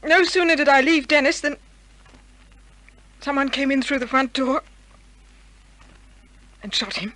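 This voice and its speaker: Anxious high-voiced noir femme fatale. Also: female voice, unhurried, pauses often, sharp tone, rough recording. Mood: anxious explaining. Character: anxious, pleading, secretive femme fatale, adult woman. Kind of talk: 1950s radio crime drama acting. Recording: old radio drama recording with music.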